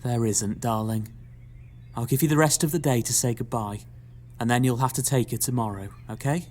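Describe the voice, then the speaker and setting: A man's voice: medium pitch, moderate pace, a little mellow, natural voice. An actor playing a melodramatic, fretful middle-aged mother in an audio pantomime, theatrical, sighing and motherly. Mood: regretful, gentle.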